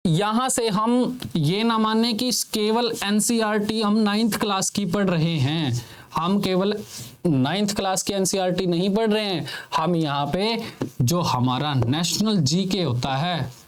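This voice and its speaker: A man teaching a lesson to students in speech, repeating his points and asking them questions that he answers himself. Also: sits high. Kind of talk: classroom lecture for students.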